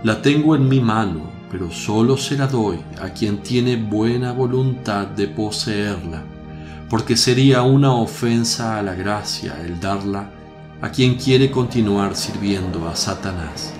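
Male actor as solemn, declamatory holy preacher for radio drama; unhurried delivery over prominent music. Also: on the low side, natural voice. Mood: solemn proclaiming.

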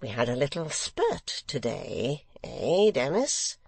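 A scheming secretary from a storybook, voiced by a male narrator. He speaks very slowly and mildly, with pastor-like, insinuating politeness over hidden malice. The sound is dry. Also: medium pitch, huge pitch swings, gravelly, slightly rough, dry recording, exaggerated voice. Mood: casually sly. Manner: pastor-like, mild, insinuating, drawn-out. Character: smooth, scheming, falsely mild male secretary.

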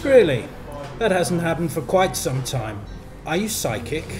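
A man's voice: on the high side, big pitch swings, unhurried. A man reading the part of a chatty young pub bartender in a short story, telling a local legend in a friendly, matter-of-fact way over music. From England. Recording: music playing behind.

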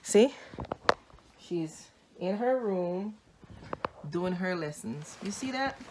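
A woman's voice: lowish voice, lively intonation, takes it slowly, noisy background. A woman in her thirties with a Jamaican accent, filming a sassy, teasing family vlog on a phone held at arm's length in a house. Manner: teasing, sassy, scolding with dry humor. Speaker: mother and vlogger. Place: small room and hallway of a house.

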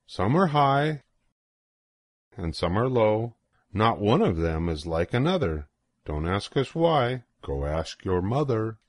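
Older man, lowish pitch, dry close recording, sing-song children's storybook reading, deliberate pauses. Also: sing-song, dry recording. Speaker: storybook reader. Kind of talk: expressive children's picture-book read-aloud.

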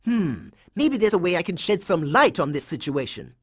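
A man's voice: high voice, very animated, moderate pace, breathy edge, heavily acted. A man voicing a bossy, heroic boy secret agent in a cartoon video game, dramatic and emphatic with a smug confidence. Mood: smug, confident.

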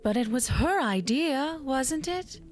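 A woman's voice: high voice, expressive, slow pace, faint music behind. A woman playing a poised, uncanny tarot reader in a horror audio drama, speaking in a formal, theatrical way. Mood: knowing, poised. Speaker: actor.

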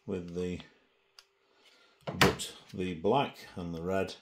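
A man's voice: sits low, fairly even intonation, takes it slowly, heavy background noise. A middle-aged man giving a casual hands-on gadget demonstration, presenting indoors at a table.

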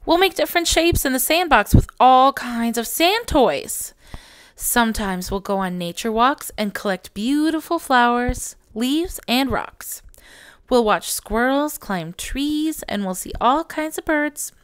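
A woman voicing a kind, upbeat preschool teacher from a picture book, gently encouraging in a sing-song manner. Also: fairly high pitch, animated, a little slow, smooth, no room sound. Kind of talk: picture book character reading.

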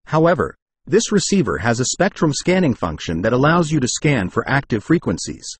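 A man with a husky voice reads a scripted product review in a formal, explanatory way. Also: medium pitch, very animated, a little fast, no room sound. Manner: formal, read-aloud explanatory delivery.

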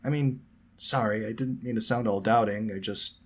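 A man voicing an awkward, curious young schoolgirl in a story, blunt yet apologetic, in halting casual speech. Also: fairly low pitch, steady tone, husky. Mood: sceptical, sheepish.

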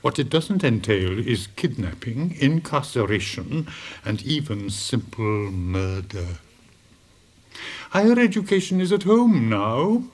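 Weary, courteous old schoolmaster, swooping intonation. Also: male voice, mid-range voice, big pitch swings, slow pace, darkish tone. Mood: weary irony. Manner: formal, hesitant, drily ironic. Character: elderly weary schoolmaster, courteous and drily ironic. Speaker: actor in a radio drama. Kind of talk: radio drama acting.

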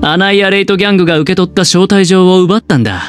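A man voicing a smug, scheming young gambler in a Japanese anime game, with smooth, teasing, theatrical delivery. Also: high-pitched, natural voice. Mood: sly, explanatory. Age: young adult. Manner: smooth, theatrical, teasing, drawn-out dramatic pauses. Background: background game music.

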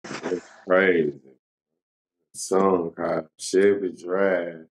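A man's voice: sits low, steady tone, drawn-out words, low-quality recording. A man hosting a casual interview as a blogger, talking in a slangy, conversational and reflective way.

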